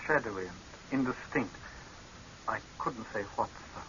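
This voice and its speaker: An actor playing an earnest, deferential young doctor in an old radio horror drama, speaking formally and in a clipped way. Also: male voice, on the low side, lively intonation, measured pace, fairly bright tone. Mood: guarded. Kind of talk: 1930s-40s radio horror acting. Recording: hissy, muffled old radio recording.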